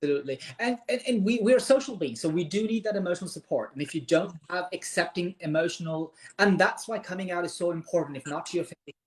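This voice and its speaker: A man's voice: high voice, quick pace, few pauses. A man from Pakistan with a Pakistani accent, whose first language is Urdu, hosting a casual live-stream chat and earnestly giving advice. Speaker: host of a live interview.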